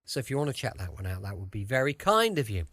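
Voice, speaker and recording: A man's voice: lowish voice, very animated, quick pace, a bit bright, no room sound, clean studio sound. A British man chatting in a casual, rambling way as he introduces a radio show as its host. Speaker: podcast host.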